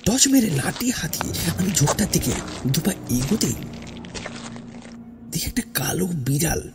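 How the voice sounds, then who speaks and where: A man narrating a first-person horror story in a theatrical, suspenseful way, with dramatic pauses and hushed phrase endings. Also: a little high, sing-song, vocal fry. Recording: voice over background music. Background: music.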